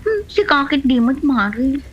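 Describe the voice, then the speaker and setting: A woman's voice: sits high, big pitch swings, a little slow, dull audio. A woman playing an aggrieved village snack seller in a comedy drama, with an Ilocano accent. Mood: exasperated. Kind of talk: Filipino comedy drama dialogue.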